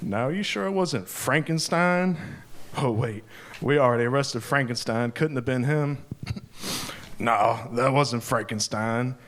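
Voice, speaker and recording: A man's voice: medium pitch, unhurried, a bit bright, close to an everyday voice. A man reading the part of a bored police officer in his forties who laughs and mocks the boys, at a live table read. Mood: mocking. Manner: sarcastic, laughing and teasing. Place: live venue.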